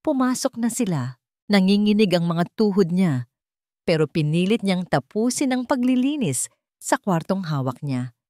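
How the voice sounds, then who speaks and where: A woman's voice: medium pitch, very animated, normal speed, darkish tone, dry recording, clean studio sound. A woman reading scripted dramatic narration of a romance story.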